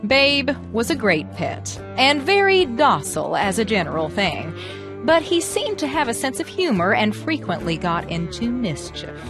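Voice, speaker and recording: A woman telling folk tales as a presenter, with expressive, theatrical, emphatic phrasing. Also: on the high side, moderate pace, over music. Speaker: storyteller and presenter. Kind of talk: animated storytelling narration of American folklore.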